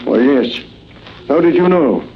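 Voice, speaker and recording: An actor playing a fatherly, dignified older city commissioner in a 1940s radio drama, formal and measured. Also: mid-range voice, animated. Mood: grave, candid. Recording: muffled old radio recording, faint noise.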